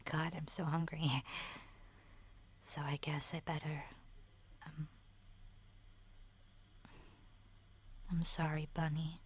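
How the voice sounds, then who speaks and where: A woman voicing a sensitive young girl survivor in a soft, breathy, often whispered ASMR roleplay, speaking hesitantly and earnestly. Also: deep voice. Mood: trapped, resigned. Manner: hesitant, halting, childlike phrasing.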